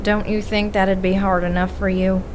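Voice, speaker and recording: A woman acting in an audio drama as a determined paranormal investigator, measured, direct and dryly teasing. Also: medium pitch, little pitch movement, a little mellow, slight background noise, lightly acted, low-quality recording. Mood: calm, forgiving. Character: steady, determined woman investigator of the supernatural, forgiving but blunt.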